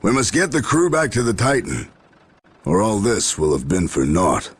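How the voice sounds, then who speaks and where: An actor playing a gruff, proud human military general in a video game, speaking formally and sternly. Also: male voice, on the low side, expressive, normal speed, a little hiss or hum, natural voice. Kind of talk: dramatic video game voice acting. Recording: music under the voice.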